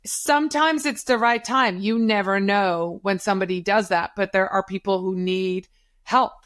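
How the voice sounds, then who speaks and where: A middle-aged woman whose first language is Dutch, speaking English as a guest in an interview. She gives career advice in an emphatic, coaching way and repeats key phrases to drive them home. Her voice sits at an ordinary pitch for a woman. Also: normal speed, fairly bright tone. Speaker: guest, social media business owner. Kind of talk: advice-giving interview talk.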